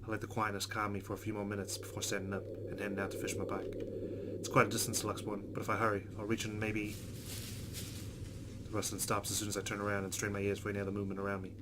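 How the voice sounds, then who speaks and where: A man's voice: on the low side, monotone, fast talker, background noise. A man hosting a let's play, reading visual novel narration aloud.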